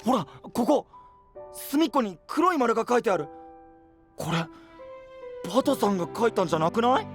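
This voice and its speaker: A man's voice: high-pitched, animated, normal speed, long pauses, soft background music. An actor playing a boyish, frank and upbeat young male knight with casual, direct speech in an anime-style voice drama. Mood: curious.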